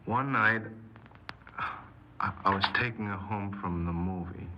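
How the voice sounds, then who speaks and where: Male actor as young criminal, low voice, cold, terse, guarded, 1950s radio drama, muffled noisy broadcast. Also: measured pace, close to an everyday voice. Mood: halting confession. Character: cold, embittered young man confessing his crimes.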